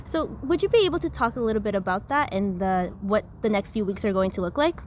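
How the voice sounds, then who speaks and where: A woman's voice: mid-range voice, quick pace, slight background noise. A woman hosting a podcast, introducing a guest and asking prepared interview questions. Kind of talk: scripted-sounding friendly interview questions.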